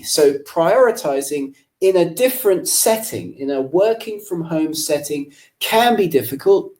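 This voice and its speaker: A man's voice: a little high, warm tone. An English man with a slight Irish tinge to his accent, presenting a live webinar from his home office in a friendly, explanatory way, speaking straight to his audience. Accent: English with a slight Irish lilt. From England.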